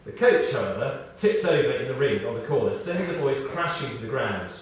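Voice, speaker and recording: A man's voice: medium pitch, lively intonation, fairly quick. British man giving a tribute, very echoey. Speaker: eulogist reading a tribute. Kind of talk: scripted memorial tribute with humorous anecdotes. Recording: very echoey, distant mic, faint noise.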